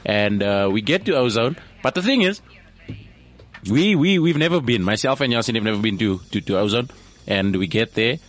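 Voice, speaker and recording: A man's voice: mid-range voice, expressive, normal speed. A young man from South Africa telling a rambling comic anecdote on a podcast, often repeating words as he goes. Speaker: comedian guest. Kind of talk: casual storytelling.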